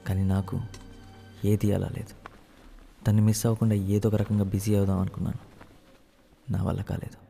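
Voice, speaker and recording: An actor playing a lovesick young engineering student, candid and teasing, in naturalistic film dialogue with some English mixed in. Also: male voice, fairly low pitch, level tone, normal speed, creaky, pauses often, dark tone. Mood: wistful. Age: young adult. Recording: film soundtrack with music underneath.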